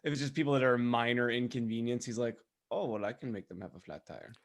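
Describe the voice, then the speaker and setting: A man with a mid-pitched voice talks as a comedy podcast co-host. He is casual and halting, working his thoughts out as he goes.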